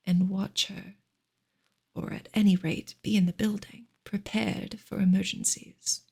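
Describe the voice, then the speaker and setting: Woman doing soft asmr book reading, super breathy, loads of vocal fry, hushed n half whispered. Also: medium pitch. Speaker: ASMR reader.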